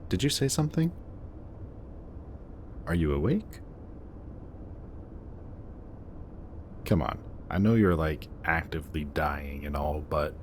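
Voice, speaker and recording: A man's voice: rumbling low, slight background noise, heavily acted. A man playing a smug, guarded supervillain in an audio roleplay, teasing and commanding, grudgingly protective of the person he is addressing. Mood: coaxing, concerned. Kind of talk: scripted roleplay audio drama addressed to the listener.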